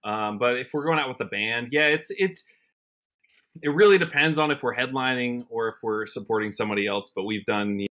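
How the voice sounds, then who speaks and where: A man's voice: medium pitch, a little fast, pushed and bright. An American man giving a casual podcast interview as a musician guest, talking reflectively and thinking aloud as he goes. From Washington state, United States. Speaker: guest, country singer-songwriter.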